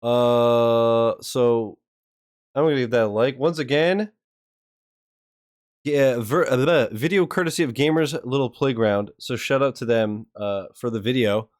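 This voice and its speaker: A man hosting a reaction video in casual, slangy, sweary chat. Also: medium pitch.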